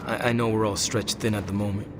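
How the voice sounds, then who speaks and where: A man playing an awkward, earnest young hero who speaks haltingly in a video game cutscene. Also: on the low side, flat delivery, noisy background, lightly acted.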